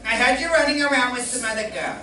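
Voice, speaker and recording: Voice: mid-range voice, fast, pushed and bright, natural voice. A young performer playing a dim, wisecracking, girl-chasing comic in a vintage radio comedy routine on stage. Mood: puzzled, wisecracking. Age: young adult. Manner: wisecracking, complaining. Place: live stage in a community playhouse. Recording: very echoey distant hall, clear noise.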